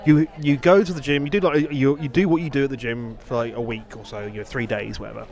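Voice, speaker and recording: A man's voice: mid-range voice, fairly even intonation, fast, creaky, darkish tone. A man in a casual podcast chat, rambling and often rephrasing himself. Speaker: podcast host. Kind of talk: casual two-person chat.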